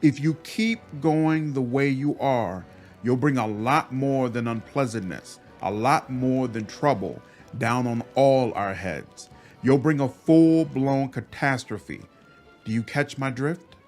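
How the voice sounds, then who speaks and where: A man's voice: mid-range voice, slow pace, darkish tone, faint music behind, close to an everyday voice. A man voicing a blunt, folksy female spy from a video game, scolding and troubled. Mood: urgent warning. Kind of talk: video game dialogue read aloud.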